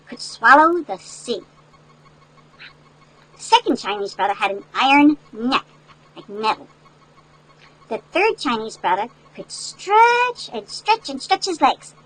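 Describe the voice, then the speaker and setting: Older female storyteller for children's picture book: high-pitched, very animated, folksy asides, faint background noise. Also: low-quality recording. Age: older, great-grandmother. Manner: folksy, explains words to young children. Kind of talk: animated read-aloud with chatty asides. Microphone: headset.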